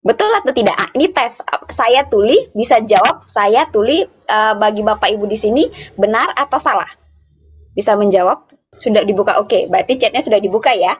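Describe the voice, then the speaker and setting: A woman interpreting live for an interactive online workshop, speaking phrase by phrase in a halting way with repeats. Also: medium pitch, animated, breathy edge. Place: online video meeting. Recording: dull, muffled online call audio.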